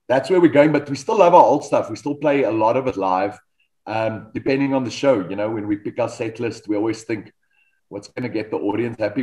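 A man's voice: medium pitch, poor audio. A South African man in his thirties, whose first language is Afrikaans, tells stories about his band at an easy pace. He often stops with "um" and restarts his sentences. His voice comes through a desk microphone on a video call. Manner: relaxed storytelling, frequent ums and restarts. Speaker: metal band vocalist, guest. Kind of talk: casual video-call interview. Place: home studio with band posters.

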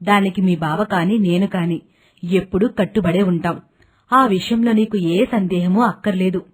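A woman's voice: mid-range voice, moderate pace, husky, lightly acted. A woman playing a kindly, naive middle-aged village mother who talks in a chatty, affectionate way. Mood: agreeable, assuring.

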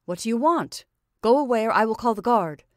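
A weary old male prisoner, read by a woman in a storybook, long-suffering and heavy with old grief. The voice sits a little higher and louder than her plain narration. The sound is close and dry. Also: moderate pace, no echo, natural voice. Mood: defiant. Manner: bitter, then forgiving.

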